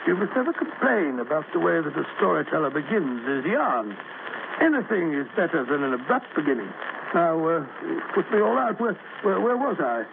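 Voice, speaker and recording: A high voice comes through a hissy, narrow old radio recording. It is an elderly doctor, genial and unhurried, spinning yarns in radio-play style and wandering pleasantly as he goes. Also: very animated, vocal fry. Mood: genial, rambling. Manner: rambling, avuncular, leisurely. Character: genial elderly English doctor telling his old adventures. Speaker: storyteller. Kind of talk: old-time radio drama acting.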